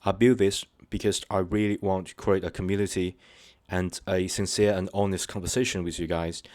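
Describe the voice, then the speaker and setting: A man hosting his own channel, talking to viewers unscripted in a halting, hesitant way, full of fillers and restarts, earnest and sincere. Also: deep voice, flat delivery, darkish tone, no room sound, clean studio sound. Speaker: channel host. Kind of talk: unscripted personal talk to viewers.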